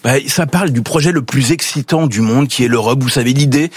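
Man, radio columnist, husky voice, dry studio sound, ironic and emphatic. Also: medium pitch, brisk, no room sound. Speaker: political columnist. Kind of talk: radio commentary.

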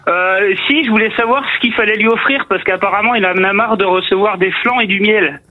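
A man's voice: sits high, a little fast, narrow, tinny audio. A man calling a radio show, politely laying out a question. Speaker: caller.

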